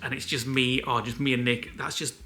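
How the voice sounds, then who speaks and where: Soft-spoken, very bright-voiced chatty man. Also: mid-range voice, level tone, brisk. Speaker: vlog co-host. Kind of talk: casual chat to camera.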